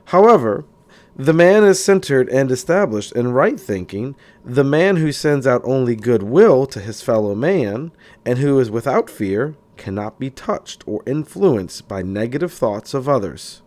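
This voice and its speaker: A man narrating a self-help book audiobook-style, in a deliberate, declarative way, sometimes stumbling over words. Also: mid-range voice, very animated, a little mellow.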